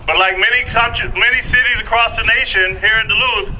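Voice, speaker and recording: A man's voice: high voice, fairly even intonation, a little fast, pushed and bright, lo-fi sound. A man speaking formally as host, opening a public ceremony at a microphone. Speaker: event host. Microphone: event microphone. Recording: faint noise, outdoor public microphone.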